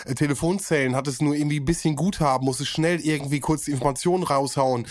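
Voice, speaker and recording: A man in his thirties co-hosting a casual podcast chat, enthusiastic and prone to excited exclamations. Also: fairly high pitch, quick pace, no echo, clean studio sound. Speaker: podcast co-host.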